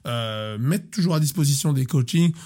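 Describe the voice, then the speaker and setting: A man in his thirties, a sports coach, talking casually in an interview, animated and often repeating and restarting words. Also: medium pitch, normal speed. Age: 30s.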